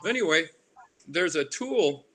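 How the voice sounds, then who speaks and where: An older man from Alaska talking casually as an interviewed artist, in a halting, rambling way that restarts and drifts. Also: on the high side, expressive, sharp tone. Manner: halting, rambling, with repeated starts and tangents. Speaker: artist and carver being interviewed. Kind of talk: casual reminiscing interview talk. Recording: noticeable background noise.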